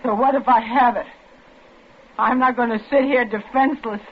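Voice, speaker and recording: A woman's voice: steady tone, moderate pace, close to an everyday voice. An actress playing a terrified, defiant and overwrought woman in a 1940s radio thriller. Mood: defiant, fearful. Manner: shrill, overwrought. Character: terrified, defiant, hysterical woman trapped in a car. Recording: muffled, noisy old radio broadcast.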